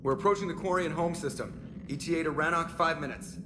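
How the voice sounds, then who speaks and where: A man's voice: fairly high pitch, fairly quick, noisy background, close to an everyday voice. An actor playing an irreverent male spaceship pilot in a video game, with a dry, sardonic, casual manner. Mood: dry, wry. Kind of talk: video game cutscene acting.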